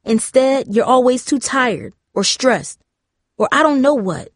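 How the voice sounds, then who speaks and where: A woman's voice: extremely high pitch, expressive, a little fast, mellow, no room sound, voice pushed up. A woman playing a vulnerable, frustrated teenage girl in a storybook, emotional and pleading. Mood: frustrated, hurt.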